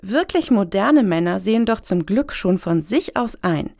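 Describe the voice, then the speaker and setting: A woman's voice: medium pitch, expressive, normal speed, creaky, a little mellow, no room sound, studio quality. A woman playing an earnest, preachy progressive panel member in a satirical radio play, speaking pointedly. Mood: pointed, indignant.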